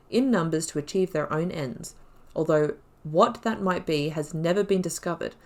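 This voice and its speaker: Lower-pitched woman hosting a game playthrough. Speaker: gamer host of a playthrough series. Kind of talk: reading game lore aloud with excited, casual commentary.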